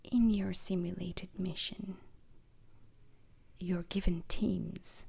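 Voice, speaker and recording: A woman plays a grave, retired space-mission veteran in an ASMR roleplay. She lectures recruits in a breathy, husky whisper with a crackling fry. The delivery is very slow, formal and deliberate. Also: fairly low pitch, level tone, warm tone, heavily acted. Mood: measured, solemn. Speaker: instructor.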